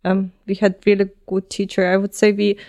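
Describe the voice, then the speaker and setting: Smooth, even-toned woman. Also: mid-range voice, a little fast. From Ukraine. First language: Ukrainian. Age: 20s. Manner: many ums and uhs, non-native phrasing. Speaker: podcast guest. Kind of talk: casual interview answers.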